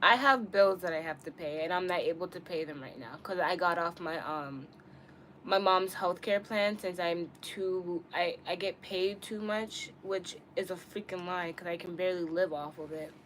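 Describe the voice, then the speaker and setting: A woman's voice: on the low side, moderate pace, fairly bright tone. Casting: woman, early twenties, candid and slangy streamer chatting off the cuff, noisy room sound. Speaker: live streamer. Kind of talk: casual live-stream chat with viewers. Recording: clearly noisy room ambience.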